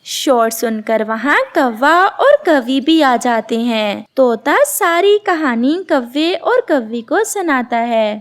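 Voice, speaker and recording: A woman's voice: high-pitched, expressive, slow pace, hardly any pauses. A woman narrating a scripted children's story as a storyteller.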